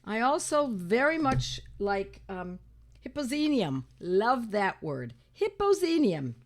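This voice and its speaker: An older woman talks through her cold, her voice raspy and a little hoarse. She sits a touch above the usual pitch and lets it rise and fall a lot. She leans hard on each point and repeats lines to drive them home. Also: takes it slowly. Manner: animated and emphatic, repeats phrases, talks to viewers as friends. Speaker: homeopathy teacher hosting her own channel. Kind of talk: casual talk to camera.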